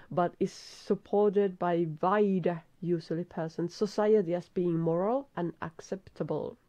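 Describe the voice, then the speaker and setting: A woman's voice: lowish voice, dark tone, no echo, highs cut off. Weary Finnish woman stumbling through English. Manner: halting, stumbles over English words and restarts. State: very tired. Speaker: vlogger reading aloud. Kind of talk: casual self-recorded reading practice. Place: small room at a desk.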